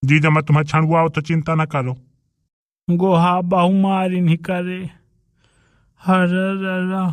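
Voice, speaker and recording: A quarrelsome rustic man from a comedy cartoon , acted out by a man, speaks in a high, clean voice with a Bundelkhandi accent. He scolds and blusters in a drawn-out delivery, recorded dry and close. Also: a bit bright, no echo. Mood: defiant, threatening. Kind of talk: dialect comedy cartoon dialogue.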